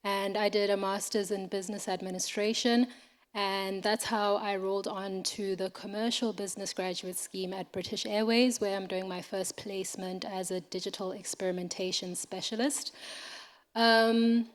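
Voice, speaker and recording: A woman's voice: medium pitch, little pitch movement, moderate pace. A woman with a South African accent, a graduate trainee giving a friendly, explanatory talk to an audience.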